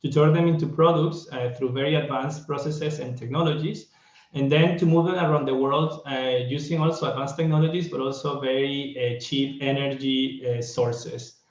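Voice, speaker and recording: A man from Venezuela giving a reflective online conference talk over a video call, pausing often with fillers. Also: mid-range voice, steady tone, roomy sound, highs cut off. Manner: reflective, hesitant with many fillers. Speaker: guest speaker.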